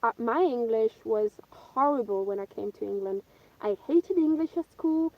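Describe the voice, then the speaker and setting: Chatty woman, bright voice. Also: fairly high pitch, normal speed, no echo. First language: Italian. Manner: chatty, rambling, many ums and uhs. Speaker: vlogger answering viewer questions. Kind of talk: casual live-stream chat. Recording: dull, dry lo-fi live stream.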